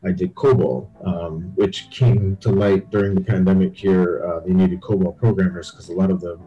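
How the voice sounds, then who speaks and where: A man's voice: deep voice, steady tone, normal speed, highs cut off. A man from Barbados, a guest on a podcast, telling stories from his upbringing in a reflective way. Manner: reflective, storytelling. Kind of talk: interview conversation.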